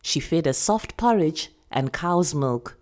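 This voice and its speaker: Low-voiced South African woman storyteller. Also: expressive, takes it slowly, darkish tone. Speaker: actor reading a short story. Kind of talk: expressive literary narration.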